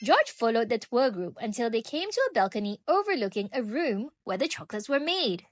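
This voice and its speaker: Animated high-voiced woman reading a storybook. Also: no echo, studio quality, soft background music. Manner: animated, stretching key phrases slowly for young listeners. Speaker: narrator reading a children's book. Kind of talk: expressive storybook read-aloud.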